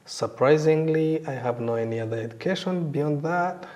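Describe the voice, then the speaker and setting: A middle-aged man with a Rwandan accent, giving reflective personal testimony in a halting, thoughtful way. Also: fairly high pitch, a little mellow. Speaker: interview guest sharing survivor testimony.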